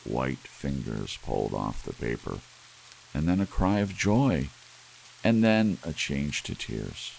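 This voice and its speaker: A man narrating an audiobook story in a soft ASMR bedtime style. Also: rumbling low, takes it slowly, gravelly, poor audio. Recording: audible hiss under steady rain sounds. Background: soft rain.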